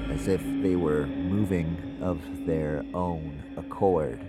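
An actor playing a haunted, uneasy man with seizures, confiding in a halting, introspective audio drama monologue. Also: rumbling low. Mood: eerie, unsettled.

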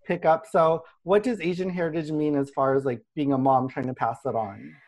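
A podcast host steering a relaxed group conversation. The voice sits at a middle pitch, with a chatty, easygoing delivery and frequent laughter between questions. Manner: chatty, laughs often, quick asides. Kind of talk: casual chat.

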